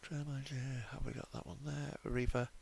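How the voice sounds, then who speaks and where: A man's voice: medium pitch, little pitch movement, normal speed, vocal fry, darkish tone, slight background noise. A man giving casual, unscripted game commentary as a livestream host, thinking aloud and muttering to himself hesitantly.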